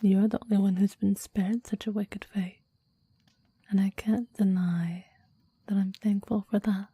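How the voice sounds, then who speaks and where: Woman as a softly whispering, possessive demon. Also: medium pitch, little pitch movement, unhurried, dry recording. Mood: reverent, menacing. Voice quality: breathy whisper, soft. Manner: formal, archaic, reverent phrasing. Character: clingy, possessive, deadly demon devoted to a master. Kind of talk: ASMR roleplay, mostly whispered.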